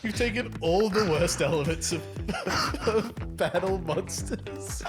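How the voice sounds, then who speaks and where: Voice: mid-range voice, takes it slowly. A tabletop game player bantering casually and playfully with the group. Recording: music playing behind the voice.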